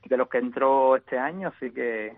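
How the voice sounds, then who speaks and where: Cuban man, exiled poet guest, halting delivery full of restarts, tinny phone-line sound. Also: mid-range voice. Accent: Cuban Spanish. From Cuba. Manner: halting, many restarts and repeated words. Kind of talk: interview answers.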